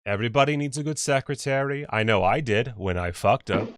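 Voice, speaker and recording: A man's voice: medium pitch, fairly quick, smooth, no room sound, clean studio sound, natural voice. A man voicing a lonely widower in a put-on Japanese accent, musing in a matter-of-fact way. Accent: mock Japanese.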